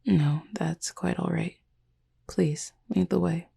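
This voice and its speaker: A woman voicing a calm, formal, gently teasing cupid demon roommate in a soft-spoken sleep-aid roleplay, with a husky, breathy voice. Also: full character voice. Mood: amused, caring. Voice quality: husky, breathy, gravelly low voice. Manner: formal, measured, softly teasing speech.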